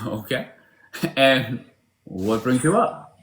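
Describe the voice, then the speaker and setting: A man's voice: medium pitch, animated, takes it slowly, fairly bright tone. A man hosting a live stream, talking bluntly and swearing as he questions callers. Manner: blunt, profane, challenging. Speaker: livestream host. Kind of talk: casual live-stream debate chat.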